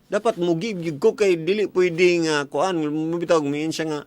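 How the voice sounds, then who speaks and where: A man's voice: a little high, steady tone, moderate pace. A man with a Bisaya Filipino accent hosts a casual call-in advice show, slipping English phrases into his talk. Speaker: radio show host. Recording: loud music bed behind voice. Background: music.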